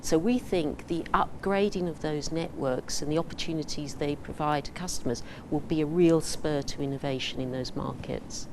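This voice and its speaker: Woman exec on a panel, really low voice, crisp and confident, faint event hum. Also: normal speed, hardly any pauses, a little mellow, poor audio. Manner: crisp, confident business talk. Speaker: telecom executive. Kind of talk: panel interview answers.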